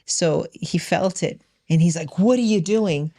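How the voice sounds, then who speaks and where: Creaky-voiced woman telling candid personal stories. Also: on the low side, measured pace, mellow, dry recording, clean studio sound. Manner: emphatic, re-enacts dialogue in direct quotes. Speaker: podcast guest and business owner. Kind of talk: candid personal storytelling in conversation.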